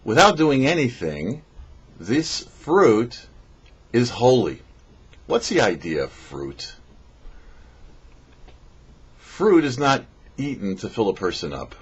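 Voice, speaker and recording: Male rabbi for scripted teaching segment: husky, very animated intonation, didactic, slightly muffled recording. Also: medium pitch, takes it slowly, pauses often. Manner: didactic, poses questions and answers them. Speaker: rabbi giving a weekly Torah portion talk. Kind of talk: scripted religious teaching, read aloud.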